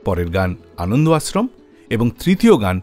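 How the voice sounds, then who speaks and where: A man's voice: lowish voice, huge pitch swings, normal speed, over music. A man hosting a radio show, presenting scripted narration about film songs.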